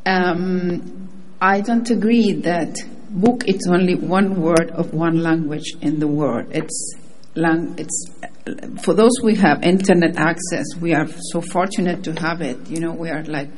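A woman's voice: fairly low pitch, fairly even intonation, unhurried, darkish tone, slight background noise. A native Spanish-speaking woman with a Spanish accent, giving informal remarks as a panelist. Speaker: panelist, government delegate.

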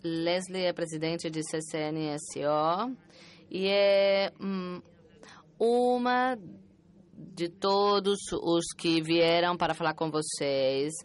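A woman working as a conference interpreter, interpreting a talk with an uneven pace that rushes and then slows, pausing and restarting often. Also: on the low side, steady tone, smooth. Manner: uneven pace, alternately rushing and slowing.

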